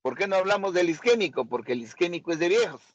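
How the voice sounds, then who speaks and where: A man's voice: high-pitched, animated, quick pace, dry recording, lo-fi sound. A man teaching a medical seminar, questioning and quizzing his students. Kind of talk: interactive medical lecture.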